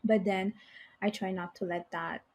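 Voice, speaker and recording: A woman's voice: medium pitch, fairly even intonation, unhurried, darkish tone. A young woman, a native speaker of Bengali and Italian, chatting as a guest in an interview with lots of fillers and restarts. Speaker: guest, finance professional. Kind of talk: casual interview conversation.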